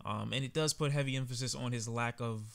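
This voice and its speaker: A man gives a casual album review into a close mic. His voice is clear and resonant, sitting at a middle pitch. He talks candidly and conversationally, with frequent fillers. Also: steady tone, quick pace. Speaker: music reviewer. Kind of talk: casual album reaction commentary.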